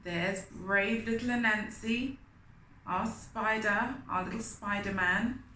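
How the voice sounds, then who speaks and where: A woman's voice: mid-range voice, fairly even intonation, slow pace, pushed and bright. A woman reading a picture book aloud to children, expressively, with asides and questions to her young listeners. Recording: roomy echo, faint background noise.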